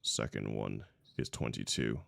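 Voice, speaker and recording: A man with an extremely low, gravelly voice plays a tabletop game. He speaks in short, plain, casual turns, recorded close and dry. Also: fairly even intonation, unhurried, a bit bright, dry recording. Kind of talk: casual, brief game talk.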